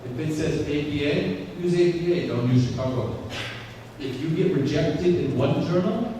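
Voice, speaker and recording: Male guest lecturer, non-native English, deliberate and repetitive; roomy far-off hall recording, audible noise. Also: on the high side. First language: not English. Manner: lively, repeats points, checks in with "okay?". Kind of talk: lecture to students.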